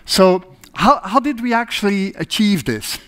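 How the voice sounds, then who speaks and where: A man's voice: high-pitched, animated, a little slow, fairly bright tone, clean tone. A middle-aged man with a Dutch accent giving a conference keynote on a large stage, explaining patiently with many "uh" fillers while walking about. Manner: explanatory, frequent "uh" fillers, walks and gestures on stage. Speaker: keynote speaker. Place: large dark stage with projection screen.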